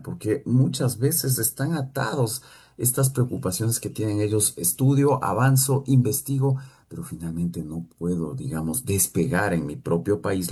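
Deep husky-voiced male interviewer. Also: dark tone. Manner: long rambling questions with filler words. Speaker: interviewer, host. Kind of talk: conversational interview questions.

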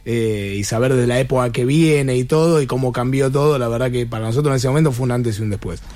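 An Argentine man answers casually as a musician in an interview. He talks quickly, in a mid-pitched voice, in an informal conversational way. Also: darkish tone. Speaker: musician in a tribute band, interview guest.

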